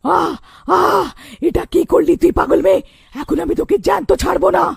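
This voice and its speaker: A woman voicing a hungry, menacing old witch in a cartoon, with a croaking voice and taunting manner. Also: extremely high pitch, brisk, no room sound, clean studio sound, full character voice. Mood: enraged. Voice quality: creaky, croaking. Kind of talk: cartoon villain voice acting.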